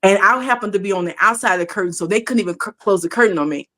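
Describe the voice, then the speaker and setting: Lively woman in her sixties telling stories. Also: mid-range voice, rapid-fire. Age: 60s. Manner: animated, laughs often, acts out quoted voices. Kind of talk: casual storytelling chat.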